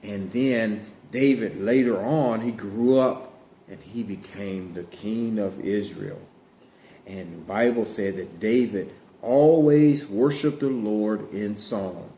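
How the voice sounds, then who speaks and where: A man telling a Bible story to children in an animated way, in simple words with repeated phrases and questions. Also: on the low side, slow. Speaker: storyteller, puppet show host. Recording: roomy echo, clear background noise.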